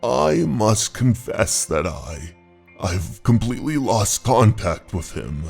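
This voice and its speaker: Man acting gravelly, obsessed aging husband, desperate. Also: lowish voice, very animated, a little mellow, exaggerated voice. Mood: haunted, weary. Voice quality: gravelly, husky. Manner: dramatic, halting monologue. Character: desperate aging husband, obsessed with rescuing his lost wife. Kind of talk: horror manga dub narration. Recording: voice over background music.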